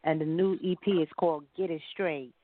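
Low-voiced woman on a phone-like line. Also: steady tone, fairly quick, dry recording. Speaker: singer being interviewed. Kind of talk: casual conversational interview.

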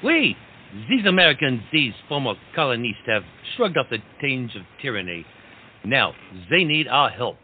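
A man plays a grand, idealistic French nobleman rallying his friend in a comic period audio play. His speech is rousing and sprinkled with French, heard through a thin, phone-like recording with clear noise behind it. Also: mid-range voice, very animated, moderate pace, lightly acted. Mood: rousing, earnest. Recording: tinny phone-like line, clear background noise.

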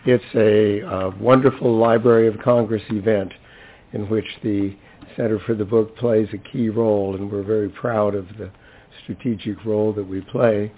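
A hoarse older man with a fairly low voice addresses a public event as its host. He is chatty and lightly humorous in an informal speech. The recording is thin and phone-like. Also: little pitch movement, normal speed. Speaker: library center director introducing a speaker.

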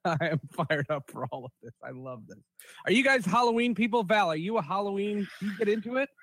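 A middle-aged man hosts a casual podcast. His voice sits somewhat high, recorded dry with no room sound. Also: lively intonation, fast, fairly bright tone, dry recording. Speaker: podcast host. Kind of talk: casual hosted podcast talk.

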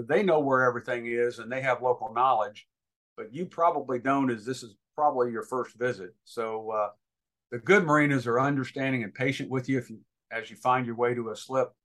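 A man's voice: medium pitch, a little fast, a bit bright. Man giving informal, practical instruction. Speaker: instructor, guest expert on boating radio.

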